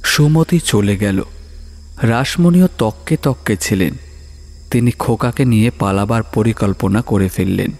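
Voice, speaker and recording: A man narrating a horror story in a dramatic, suspenseful way, with pauses for effect. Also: lowish voice. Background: soft music.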